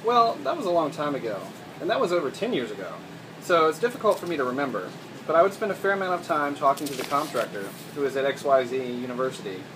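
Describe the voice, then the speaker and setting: A man's voice: on the high side, expressive, fast talker. A man working as a college instructor, recounting experience in a group discussion. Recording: distant mic in noisy room.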